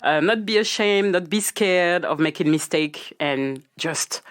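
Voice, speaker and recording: A woman's voice: lowish voice, measured pace, clear, resonant tone. French lady on a podcast, thick accent, laughs a lot, easygoing chat. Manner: laughs often, mixes English and French. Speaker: podcast guest. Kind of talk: casual conversation.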